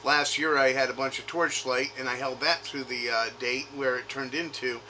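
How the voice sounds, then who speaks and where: A man's voice: medium pitch, fairly even intonation, moderate pace, pushed and bright. A man talking informally on a phone call as a caller, insistently questioning and often rephrasing as he goes. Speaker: caller, retail investor. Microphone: makeshift recording device grabbed during call. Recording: faint noise, recorded phone call.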